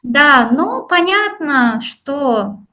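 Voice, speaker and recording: A woman from Russia and Iran talking reflectively and in a measured way as a guest in a conversational interview. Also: high-pitched, big pitch swings, pushed and bright.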